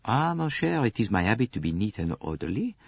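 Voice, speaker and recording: A man voicing a fastidious, courteous older male detective who questions politely and orderly. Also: on the low side, very animated, vocal fry, husky, dry recording, voice pushed up, exaggerated voice. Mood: probing. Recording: dull dry studio recording.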